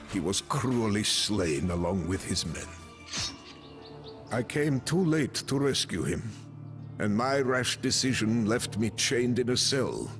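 An actor playing a grim, proud medieval Bohemian mercenary commander, narrating his story solemnly and deliberately for a video game. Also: on the low side. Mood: grieving, grim. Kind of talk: dramatic scripted first-person narration. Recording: clean voice-over with music behind.

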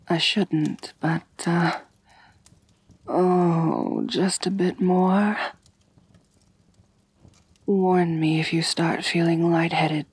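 Woman acting a breathy, slow-speaking vampire mistress. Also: lowish voice, no room sound, heavily acted. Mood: indulgent, hungry. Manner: gentle, doting, softly commanding, often near whispering. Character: kind, possessive, refined female vampire mistress. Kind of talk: ASMR roleplay, soft intimate personal attention.

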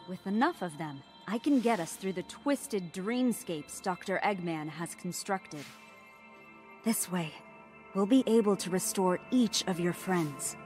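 Lady doing solemn mystical sheep guardian in a game, formal, normal pitch, music under. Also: lightly acted. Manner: formal, measured. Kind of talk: animated video game cutscene acting.

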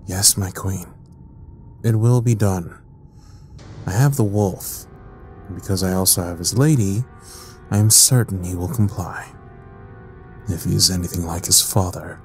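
A man playing a sadistic, mocking evil scientist in an audio drama, with a theatrical, measured delivery. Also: low-pitched, soft background music. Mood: obedient, sinister.

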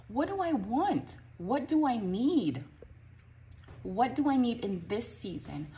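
A woman's voice: mid-range voice, a little mellow, slight background noise. A woman in her forties, a life coach, giving an earnest, encouraging talk straight to the camera from a small home office and asking rhetorical questions. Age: 40s.

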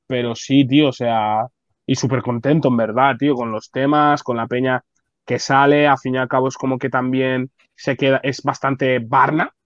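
A man's voice: medium pitch, no echo, highs cut off. A man in his twenties from Spain, with a Barcelona-area Spanish accent, chatting casually as a guest on a video call, full of slang and fillers. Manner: slangy, relaxed, many fillers. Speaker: guest rapper. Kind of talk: casual interview chat. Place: indoor bright room. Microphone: video call webcam.

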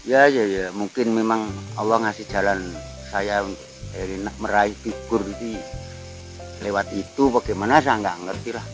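A man's voice: fairly low pitch, steady tone, normal speed, music in the background, rough recording. Older man with a Ngapak Javanese accent. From Banyumas region, Central Java. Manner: rambling, musing, chuckles often. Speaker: guest, veteran TV actor. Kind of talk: casual reflective chat. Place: outdoor garden table at night.

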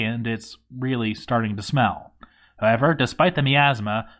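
Wry man narrating, swooping expressive pitch. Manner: wry, sardonic, profane. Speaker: narrator reading a game playthrough log. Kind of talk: dramatic comic storytelling, scripted narration.

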